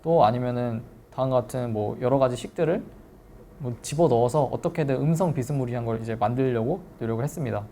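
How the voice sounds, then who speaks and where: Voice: medium pitch, quick pace, mellow, slight background noise. A lecturer giving a technical seminar, explaining step by step in polite but informal speech.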